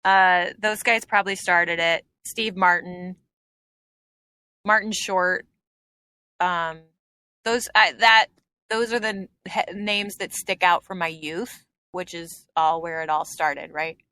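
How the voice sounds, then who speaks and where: A woman's voice: on the low side, fairly even intonation, slow, bright, forceful tone, clear, resonant tone, no room sound. A woman comedian chatting as a guest on a podcast, reminiscing with plenty of fillers. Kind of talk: casual podcast interview.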